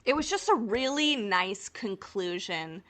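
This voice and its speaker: A woman with a bright, forward voice co-hosts a casual fan chat show. Her delivery is playful and teasing, and her pitch moves around in a very lively way. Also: measured pace. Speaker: podcast co-host.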